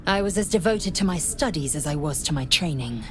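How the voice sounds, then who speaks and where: A woman's voice: sits low, lively intonation, a little fast, a little hiss or hum. A woman playing a stern, proud alien warrior in a fantasy video game, formal, clipped and imperious in manner. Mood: proud, solemn. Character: stern, haughty female githyanki warrior, proud and intense. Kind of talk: video game character acting.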